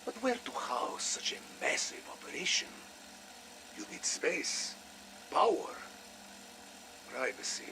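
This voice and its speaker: Gravelly, wary Russian double agent. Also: male voice, on the high side, noisy background, heavily acted. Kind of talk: cinematic video game voice acting.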